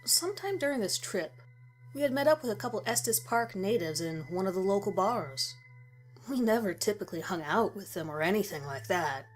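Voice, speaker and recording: A woman narrates a first-person horror story at a middle pitch, reading from a script. Music plays softly beneath her voice. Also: expressive, normal speed, rough recording.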